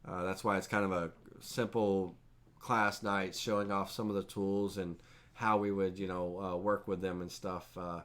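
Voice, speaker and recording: A man hosting a live-streamed software tutorial with a head cold, sounding stuffy and nasal, rambling casually and talking to chat viewers by name. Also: low voice, level tone, moderate pace. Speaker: host and instructor.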